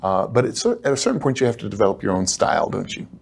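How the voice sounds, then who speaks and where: A man's voice: fairly low pitch, huge pitch swings, fast talker, creaky, slight background noise. A middle-aged man hosting a talk show, chatting eagerly with a guest and using many fillers. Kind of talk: conversational interview.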